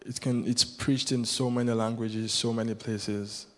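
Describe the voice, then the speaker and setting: A man's voice: mid-range voice, level tone, measured pace, fairly bright tone. A man whose first language is Yoruba, preaching a sermon as a guest preacher in an earnest, rhythmic way that builds with repetition. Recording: long hall reverb.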